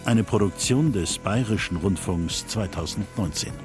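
A man's voice: deep voice, measured pace. A man reading scripted radio credits as an announcer. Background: music.

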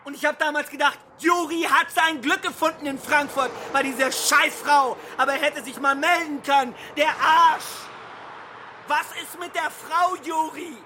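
A shrill, angry migrant. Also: female voice, fairly even intonation, normal speed, a little hiss or hum. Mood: angry, exasperated. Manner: loud, sharp, exasperated. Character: angry, foul-mouthed migrant ranting about a friend. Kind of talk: heated dramatic monologue.

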